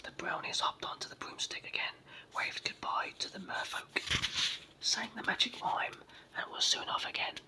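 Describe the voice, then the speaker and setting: A person with a sore throat and a cold, whispering a children's story in a raspy, froggy voice as ASMR narration. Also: normal speed, low-quality recording. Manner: hushed whispering throughout.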